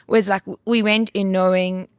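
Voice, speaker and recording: A woman's voice: medium pitch, flat delivery, normal speed, clean tone, no room sound, studio quality. A woman producer from South Africa, telling stories in a casual podcast interview, laughing often. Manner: chatty, storytelling, laughs often. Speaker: film producer, guest.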